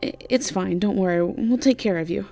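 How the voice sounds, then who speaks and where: A woman voicing a brisk, chatty, easily irritated witch innkeeper in an audio drama, speaking casually with hesitations and swearing. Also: mid-range voice, vocal fry, warm tone, natural voice. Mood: reassuring. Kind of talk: audio drama character acting.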